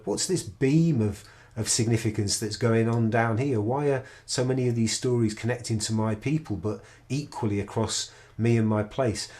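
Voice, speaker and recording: A man's voice: mid-range voice, darkish tone. A middle-aged man, a researcher, explaining a research method in an enthusiastic, explanatory way, often restarting his sentences. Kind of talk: recorded project presentation.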